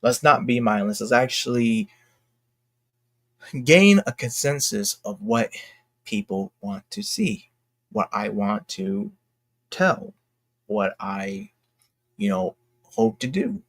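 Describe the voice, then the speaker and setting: A young man talking casually and candidly to his fans in a halting, reflective, earnest way, as an independent creator. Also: lowish voice, very animated, a bit bright, dry recording. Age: young adult. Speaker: indie comic creator and vlogger.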